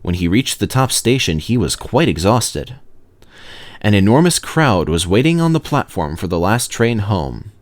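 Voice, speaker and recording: A man reading a children's story aloud as narrator, in expressive scripted narration. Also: fairly low pitch, normal speed. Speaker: narrator, reader of children's stories.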